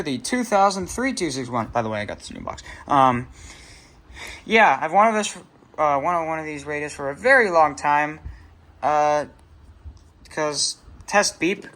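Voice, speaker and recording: A man's voice: a little high, pushed and bright, a little hiss or hum. A man giving a casual, unscripted show-and-tell as a hobbyist vlogger, rambling, trailing off and correcting himself as he goes. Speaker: hobbyist vlogger presenting a radio collection.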